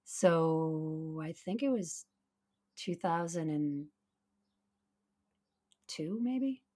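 A middle-aged woman with a naturally raspy voice, co-hosting a podcast in casual chat, laughing often and talking in quick asides. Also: low voice, animated, mellow. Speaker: podcast co-host.

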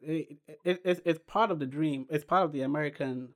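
A young man from Ghana who founded a clothing brand, telling his story unhurriedly as a podcast guest, with pauses and restarts. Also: a little high, fairly bright tone. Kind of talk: casual interview chat.

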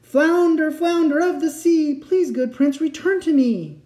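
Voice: medium pitch, sing-song, normal speed, warm tone, pitched above the performer's own voice, close to an everyday voice. A storyteller playing a meek, henpecked poor fisherman in a fairy tale, pleading and reluctant. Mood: pleading call. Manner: pleading, miserable. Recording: roomy, echoing sound.

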